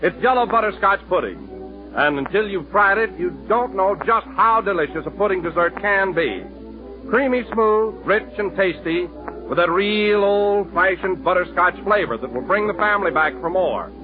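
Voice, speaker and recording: A man reading an ad as announcer in a smooth, upbeat way. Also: sits high, animated, moderate pace, over music. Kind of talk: radio ad read. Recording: tinny 1930s radio broadcast.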